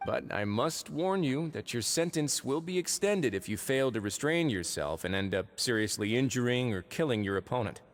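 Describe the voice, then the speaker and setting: An actor playing a shrewd, composed prison warden in a video game, measured and politely authoritative. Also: male voice, medium pitch, lively intonation, a little hiss or hum, natural voice. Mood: matter-of-fact. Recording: music playing behind.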